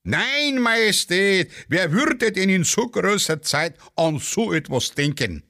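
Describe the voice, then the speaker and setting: An actor playing an aging, fawning poet in hunting garb, talking and singing in a folksy, sing-song way. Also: male voice, high-pitched, big pitch swings, slow, exaggerated voice. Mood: servile. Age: older. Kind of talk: radio play acting with singing. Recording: loud music behind the voice.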